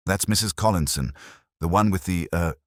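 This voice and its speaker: A man's voice: deep voice, normal speed, dry recording, clean studio sound, pitched above the performer's own voice, close to an everyday voice. A man voicing a keen, plain-spoken detective sidekick who questions and reasons in a mystery story.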